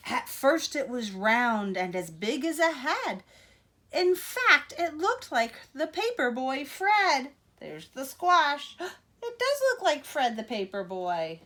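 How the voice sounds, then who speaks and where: A woman reading a picture book aloud to children in an expressive, teacherly way, with asides to young listeners. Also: high voice, takes it slowly.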